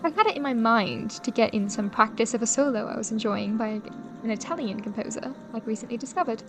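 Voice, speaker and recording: Audio drama heroine woman acting: proper, caring young gentlewoman, lightly high voice, formal period phrasing, set against clear music. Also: brisk, lightly acted. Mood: composed, recounting. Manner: formal, genteel storytelling phrasing. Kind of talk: first-person audio drama narration.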